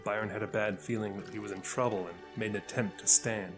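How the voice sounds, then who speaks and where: A man reading scripted fantasy narration as a storyteller, with dramatic, deliberate delivery. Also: fairly low pitch, fairly even intonation, over music.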